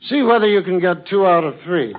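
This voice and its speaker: American man, high pitch for a male, formal, courteous, prepared guest quizmaster talk, narrow tinny 1940s radio sound. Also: expressive, a little fast. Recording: thin band-limited 1940s radio broadcast.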